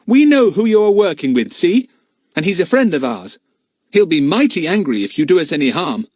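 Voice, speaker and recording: Man putting on a high, eager boy's voice, lively, dry close sound, storybook child. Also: moderate pace, no room sound. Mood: bold. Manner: eager, pleading. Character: earnest young boy, brave but desperate.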